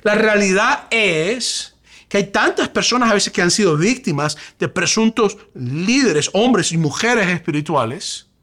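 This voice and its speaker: A man's voice: high-pitched, animated, measured pace, fairly bright tone. A male pastor from Venezuela with a Venezuelan Spanish accent, preaching a sermon with rising emphasis and rhetorical questions.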